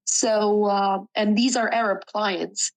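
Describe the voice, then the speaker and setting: A woman's voice: medium pitch, steady tone, slow, low-quality recording. Woman, from Sudan, native Arabic speaker, twenties, reflective, earnest, hesitant fillers. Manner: earnest, reflective, with frequent ums and self-corrections. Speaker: student interviewee. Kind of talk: personal interview.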